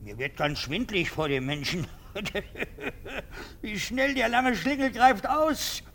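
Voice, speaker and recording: An actor playing a pompous, sentimental and anxious army captain in a theatrical German radio drama. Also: male voice, sits high, lively intonation, slow pace, vocal fry, a bit bright, full character voice. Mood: giddy derision. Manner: theatrical, swinging from exclamation to insinuating murmur.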